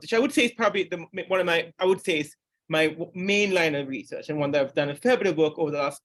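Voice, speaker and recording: A middle-aged man from Sri Lanka giving an academic seminar lecture on psychology, speaking with frequent restarts and rising emphasis. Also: on the high side.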